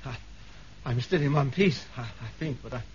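A man playing a genteel, wry, slightly fussy gentleman in a 1970s radio drama, polite and a little hesitant. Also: mid-range voice, moderate pace, breathy edge. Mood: droll. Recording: dull 1970s radio recording, faint noise.

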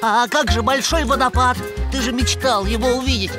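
A woman's voice: on the high side, steady tone, moderate pace, close to an everyday voice. A performer voicing a cautious, timid young male hedgehog in a cartoon who speaks in a worried, sensible way. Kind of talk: cartoon voice acting. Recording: cartoon soundtrack with loud music.

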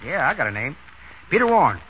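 A bossy wisecracker in a vintage radio play. The voice is fairly high for a man and swings widely as it teases. The old broadcast is muffled, with clear background noise. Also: sing-song, quick pace. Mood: wry, teasing. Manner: wry, teasing. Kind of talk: 1930s radio drama acting.

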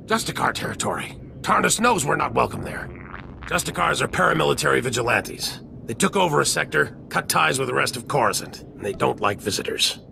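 Stern military general, very gravelly man, authoritative, game cutscene, noisy ambience. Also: mid-range voice, sing-song, fairly quick, pushed and bright, full character voice. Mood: stern, urgent. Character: grave, commanding Republic general, worried but stern. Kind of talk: video game cutscene acting.

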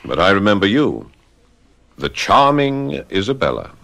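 An actor playing a brooding, proud, vengeful gentleman in a radio drama. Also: lowish voice, sing-song, measured pace, creaky, loud music behind the voice, exaggerated voice.

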